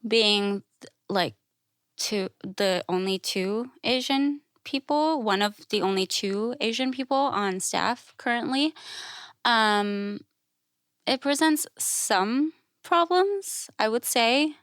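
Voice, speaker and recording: A woman's voice: a little high, expressive, takes it slowly, fairly bright tone, clean tone, no room sound, clean studio sound. A woman from Thailand speaking candidly and informally as a podcast guest, telling stories and often voicing quoted remarks. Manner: informal and outspoken, with frequent "like" and acted-out quotes. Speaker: podcast guest, classical musician and educator. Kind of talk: candid conversational storytelling.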